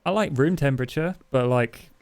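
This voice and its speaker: A man's voice: mid-range voice, fairly quick. A man playing his character in a tabletop game, laid-back and rambling, with many fillers. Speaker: player. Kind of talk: in-character roleplay.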